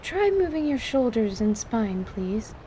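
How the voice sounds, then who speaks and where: Placid female surgeon for fantasy audio drama woman actor, high-pitched, formal, precise bedside manner, faint noise behind. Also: sing-song, a little slow, mellow. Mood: earnest, inquisitive. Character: female field healer, placid, clinical and earnestly inquisitive.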